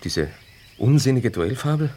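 A man's voice: mid-range voice, big pitch swings, normal speed, warm tone, a little hiss or hum, lightly acted. A man playing an earnest, devoted young officer in a 1970s radio drama, pleading with a woman. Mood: earnest, urgent.

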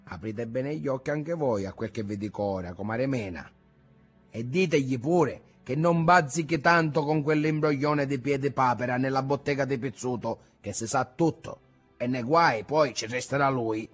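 A man voicing a smooth, insinuating police sergeant in an audiobook, speaking softly. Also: medium pitch, normal speed, soft background music, lightly acted. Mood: warning. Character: smooth-talking middle-aged police sergeant, flirtatious.

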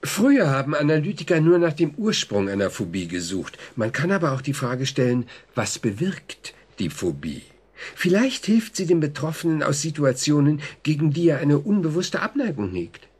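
Casting: composed radio-play therapist, fairly high for a man, expressive intonation, clinical and probing. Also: husky. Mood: explaining. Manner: measured, clinical, deliberate. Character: composed, methodical male psychotherapist, reassuring and probing. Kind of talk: German radio drama acting.

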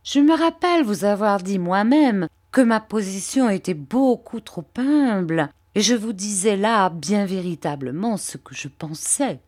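A woman voicing a fawning, falsely humble young male clerk who speaks with wheedling, drawn-out emphasis. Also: medium pitch, expressive, a little mellow, voice pushed up.

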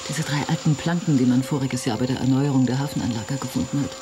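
An actress in a radio play playing a restless, wry museum worker, talking in a dry, assertive, conversational way. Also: female voice, extremely low pitch, fast talker, noisy background. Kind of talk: naturalistic radio drama dialogue.

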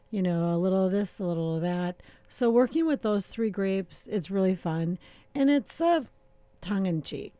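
A middle-aged woman talking as a winemaker guest in a casual radio interview, relaxed and chatty, with many filler pauses and easy laughter. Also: mid-range voice, dry recording.

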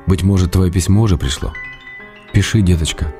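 An actor playing a middle-aged Russian writer, tender and wry, reading love letters aloud in a radio play. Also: male voice, deep voice, lightly acted. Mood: longing. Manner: affectionate, gently teasing, measured. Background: music.

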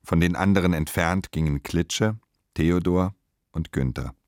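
A man narrates a literary radio play in a measured, solemn and deliberate manner. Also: deep voice, darkish tone, dry recording, studio quality.

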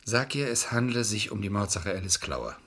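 A businesslike lawyer and boss in a radio crime play. His voice is low and husky, his manner curt and controlled, politely insistent and determined. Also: big pitch swings, brisk, fairly bright tone, heavily acted. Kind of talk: German radio crime drama acting.

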